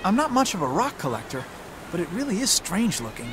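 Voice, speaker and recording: A man's voice: sits high, sing-song, fairly quick, background noise. A man voicing an easygoing, wisecracking young man in a video game, laid-back and teasing. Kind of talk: video game cutscene voice acting.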